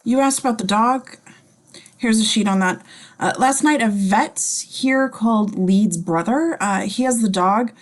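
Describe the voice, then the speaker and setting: A woman playing a blunt, weary male police chief in a table read, with halting delivery. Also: on the high side, lightly acted. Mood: businesslike, weary. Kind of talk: screenplay table read acting.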